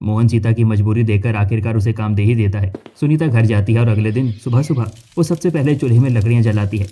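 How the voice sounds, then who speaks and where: A man's voice: on the low side, fast, dark tone, faint music behind. A man narrating a scripted cartoon story as its narrator.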